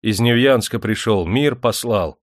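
A man's voice: fairly low pitch, a little slow, no echo, natural voice. A male narrator plays a humble serf miner in a historical audiobook. He pleads earnestly in simple peasant speech, recorded dry and close. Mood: pleading. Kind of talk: dramatised audiobook character voice.

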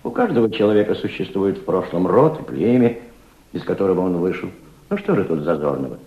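A proud, cultured gentleman revolutionary speaks in a low, highly modulated voice. He reflects and orates like a fatherly mentor in an old radio play, heard in a roomy, echoing recording. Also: very animated, a little fast, lo-fi sound. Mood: earnest, admonishing. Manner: reflective, oratorical, fatherly toward a young listener. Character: proud, cultured older Russian aristocrat turned revolutionary diplomat. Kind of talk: Soviet radio drama acting.

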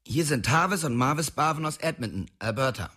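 An actor playing a cheeky, glib young prankster in a radio drama, with a slightly husky voice. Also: male voice, a little high, lively intonation, brisk, bright, forceful tone. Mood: mock official. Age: young adult. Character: cheeky young prankster, narrator's small-town best buddy.

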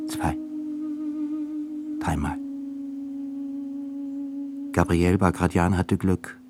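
A man narrating a radio drama in a measured, solemn way. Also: on the low side.

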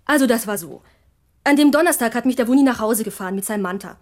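Cheeky teenage girl, acted by a woman, highish voice. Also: expressive, fast, darkish tone, close to an everyday voice. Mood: offhand. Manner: colloquial, bargaining, offhand. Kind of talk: German radio crime drama.

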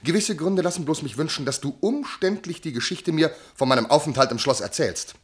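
An actor playing a jealous, tormented noble commander and husband in classical verse radio drama, declaiming intensely. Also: male voice, a little high, animated, a little fast, breathy edge. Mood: strained, brooding. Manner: intense declamation, from hushed to outbursts.

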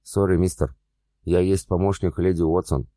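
A man doing a fake foreign assistant, broken Russian, very deep dark voice, dry sound, audiobook. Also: normal speed, no echo, lightly acted. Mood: smooth pretending. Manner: broken Russian mixed with English words.

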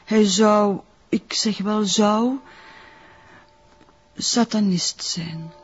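A stern, discreet Flemish nun in charge of a convent in a detective drama. She speaks formally and carefully in a somewhat breathy, husky voice. The recording is a little muffled. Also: mid-range voice, extremely slow. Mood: measured, confiding. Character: guarded, stern female convent director, a nun. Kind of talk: naturalistic detective drama dialogue.

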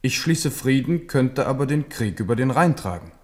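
A man's voice: medium pitch, animated, normal speed, a little mellow, close to an everyday voice. An actor in a radio drama playing a proud, shrewd king, confident and parrying in his manner. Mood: courteous, proud. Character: proud, shrewd, self-assured French king. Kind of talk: 1970s German radio drama acting.

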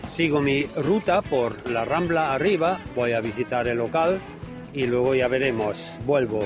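A man with a mid-pitched voice hosts a radio reportage, moving between German and Spanish as a street reporter. Music plays clearly behind him. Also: normal speed.

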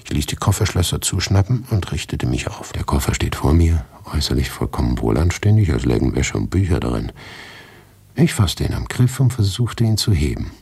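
Male narrator, very low husky register, deliberate dramatic literary storytelling, dry close sound. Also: big pitch swings, a little mellow, no room sound.

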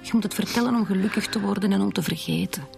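A Flemish woman in her forties, a schoolteacher both bitter and protective. She speaks haltingly and with raw emotion, in naturalistic drama style with music underneath. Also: mid-range voice, creaky, darkish tone. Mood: gentle, urgent. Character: bitter, protective 43-year-old schoolteacher confessing to killing. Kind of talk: naturalistic radio drama acting.

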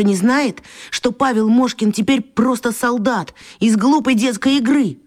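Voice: mid-range voice, close to an everyday voice. Schoolboy lead, adult performer, children's radio drama: troubled, guilty, given to dramatic musing. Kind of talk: children's radio play acting.

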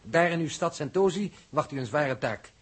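An actor playing a cool, decisive space expedition leader in an old radio drama, formal and unruffled. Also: male voice, a little high, lively intonation, normal speed, breathy edge. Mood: calm, resolute. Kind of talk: 1960s radio drama acting.

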